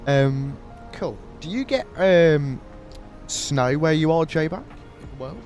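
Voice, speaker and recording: A man's voice: a little high, expressive, takes it slowly, darkish tone, faint music behind. A man streaming a flight sim, chatting casually with viewers while flying, his nose stuffy from a cold. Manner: chatty, friendly, thanks viewers by name. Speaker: flight simulator livestreamer.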